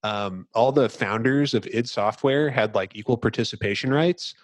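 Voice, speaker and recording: A man's voice: mid-range voice, normal speed, no room sound. A man hosting a casual video game discussion, explaining things in a loose, rambling, hesitant way. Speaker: podcast host.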